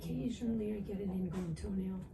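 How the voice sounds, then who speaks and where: A woman's voice: medium pitch, quick pace, gravelly, dark tone. An older woman from New York, a patient chatting and asking curious questions during her foot treatment. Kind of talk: casual chat. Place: podiatry clinic treatment room. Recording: distant mic in a noisy clinic.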